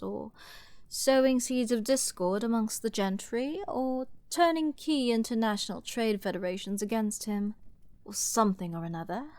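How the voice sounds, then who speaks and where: Woman acting a slow-talking arrogant scheming princess. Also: mid-range voice, a little mellow. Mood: haughty, playful. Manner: haughty, theatrical, slow and teasing. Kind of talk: dramatic fantasy audio roleplay with ASMR whispering.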